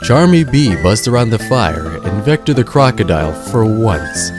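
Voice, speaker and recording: A man narrating a scripted adventure story in a measured, dramatic storybook manner. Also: on the low side, big pitch swings, music in the background.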